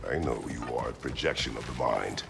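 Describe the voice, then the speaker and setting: An actor playing a grave, remorseful, battle-worn warrior god in a video game, speaking solemnly and formally. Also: male voice, rumbling low, fairly quick, vocal fry, full character voice. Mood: solemn regret. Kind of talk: dramatic video game acting. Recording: loud music behind the voice.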